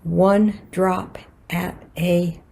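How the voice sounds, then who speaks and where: An older American woman reading a prepared personal reflection for a worship service in a measured, earnest manner. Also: fairly low pitch, flat delivery, vocal fry, dark tone. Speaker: worship associate.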